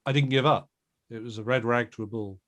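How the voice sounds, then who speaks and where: A man's voice: mid-range voice, fairly even intonation, a little fast, fairly bright tone. A middle-aged man giving a reflective, earnest and emphatic interview answer as a producer. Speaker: audio drama producer and director, interview guest.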